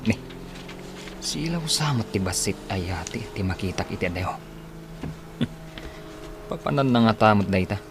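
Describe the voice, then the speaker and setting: A man's voice: on the low side, lively intonation, measured pace, long pauses, noisy background. A man performing in a Philippine radio drama. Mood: furtive, curious.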